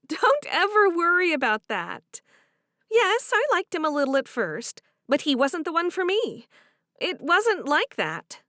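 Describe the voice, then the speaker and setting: A kind, comforting young woman, as a woman reading aloud puts her on, speaking high and slow with a soothing lilt. She reassures warmly through a storybook scene, recorded dry and close. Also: very animated, no echo, heavily acted. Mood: reassuring. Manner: gentle, reassuring.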